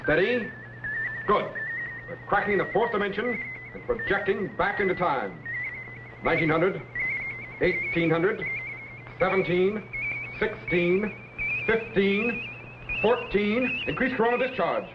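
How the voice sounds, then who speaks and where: A man acting a commanding time-travelling captain in an old sci-fi serial, giving clipped, steady orders on a dated recording. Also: sits high, unhurried, pauses often, very noisy. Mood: commanding, focused. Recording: dull old broadcast recording with loud music.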